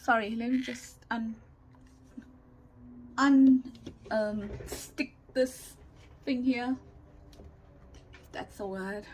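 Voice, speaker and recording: A woman giving a casual, chatty craft demonstration, thinking aloud in a rambling way with a loud, carrying voice, recorded without a separate microphone. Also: fairly high pitch, fairly even intonation, extremely slow, long pauses. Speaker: craft tutorial host. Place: indoors at a home craft desk. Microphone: camera or phone without separate microphone. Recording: noisy room, no microphone.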